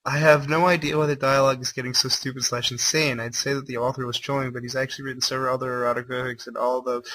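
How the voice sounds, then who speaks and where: A man's voice: mid-range voice, little pitch movement, fast talker, hardly any pauses, pushed and bright, poor audio. A man reading a story aloud as a livestream host, mixing dramatic narration with irreverent, incredulous commentary. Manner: irreverent, incredulous, swearing asides.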